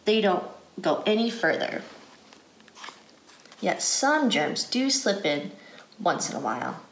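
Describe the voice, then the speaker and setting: An American woman reads aloud for children in a guided, teacherly style. Her pitch moves up and down in a lively way while she keeps a slow, deliberate pace. The recording has a roomy indoor echo. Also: slight background noise. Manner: deliberate, clearly phrased, asks questions. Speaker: educator reading a picture book aloud.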